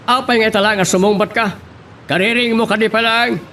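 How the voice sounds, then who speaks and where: A man's voice: high voice, steady tone, a little fast, sharp tone, a little hiss or hum. A man with an Ilocano accent playing a worried, impatient man in an amateur audio drama. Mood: worried, frustrated. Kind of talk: amateur Ilocano radio-style drama acting.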